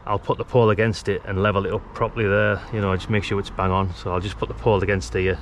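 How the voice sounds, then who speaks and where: A British man giving a casual, chatty hands-on how-to commentary in an informal, easygoing manner. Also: lowish voice. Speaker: DIY tutorial presenter. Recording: clearly noisy on-location recording.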